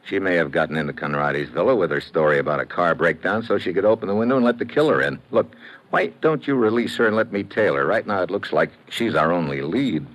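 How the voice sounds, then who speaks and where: An actor playing a tough, wry American investigator in clipped, slangy mid-century radio detective style. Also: male voice, low-pitched, lively intonation, quick pace, fairly bright tone. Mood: brisk, musing. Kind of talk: 1940s-50s radio detective acting. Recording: noisy old radio broadcast recording.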